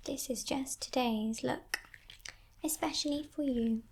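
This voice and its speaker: A woman voicing a playful, menacing female demon in a breathy, teasing whisper for an ASMR roleplay. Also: high voice, a little hiss or hum. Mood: breezy, condescending. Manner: teasing, condescending, softly sinister, unhurried.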